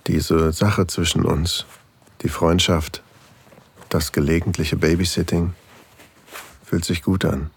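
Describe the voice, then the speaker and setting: An actor playing an older single father, tender and longing, in an intimate, softly confiding audio drama narration with a husky, gravelly voice. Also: deep voice, heavily acted.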